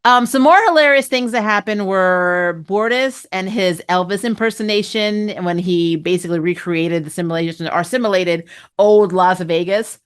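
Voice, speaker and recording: A woman with a mid-pitched voice talks like a devoted fan hosting her own review channel. Her delivery is casual and opinionated, full of slang and gushing enthusiasm, as she recaps in a loose, chatty way. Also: moderate pace, clean tone.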